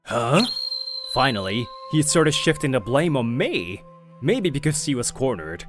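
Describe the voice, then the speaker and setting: A man's voice: medium pitch, very animated, unhurried, fairly bright tone, faint music behind. A man narrating as a young company president. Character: calm young male company president telling his story. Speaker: narrator and lead figure. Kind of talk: manga video narration.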